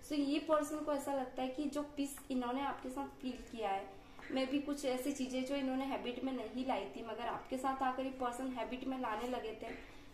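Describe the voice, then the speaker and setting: Hoarse-throated woman tarot reader, a touch high, chatty with hesitant pauses, in a room with noticeable echo. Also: steady tone, darkish tone, slight background noise. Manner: chatty, frequent filler pauses. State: slightly hoarse. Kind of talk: hesitant tarot reading to viewers.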